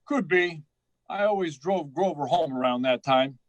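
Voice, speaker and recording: A man's voice: a little high, moderate pace, no room sound, highs cut off, close to an everyday voice. A man playing a cocky, blunt chauffeur sidekick in 1940s radio style, brash and slangy. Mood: easygoing.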